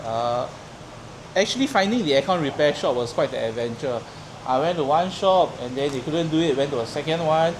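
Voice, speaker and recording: A man from Singapore casually telling a friend about his long drive, relaxed and a bit rambling. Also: fairly high pitch, brisk, a little hiss or hum, poor audio. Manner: relaxed storytelling, a few fillers. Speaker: road-trip companion. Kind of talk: casual chat.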